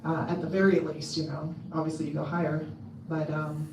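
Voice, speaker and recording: A woman with a loud voice giving a casual talk to a convention audience, chatty and full of ums and restarts. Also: deep voice, flat delivery, brisk, a little hiss or hum. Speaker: convention speaker. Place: convention hall. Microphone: handheld mic on a stand. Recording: echoey hall, mic at a distance.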